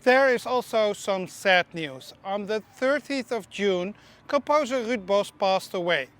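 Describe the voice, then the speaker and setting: A woman's voice: mid-range voice, lively intonation, unhurried, faint music behind. Chatty woman. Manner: chatty, opinionated, small slips and restarts. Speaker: theme park news presenter. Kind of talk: casual news vlog narration.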